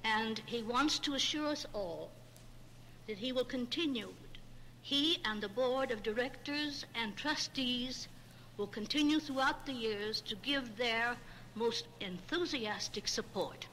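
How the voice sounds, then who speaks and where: A vintage recording with faint noise carries a woman addressing a ceremony. Her voice is fairly high, bright and forceful, in a formal speech style. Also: unhurried, rough recording. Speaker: speaker at a ceremony.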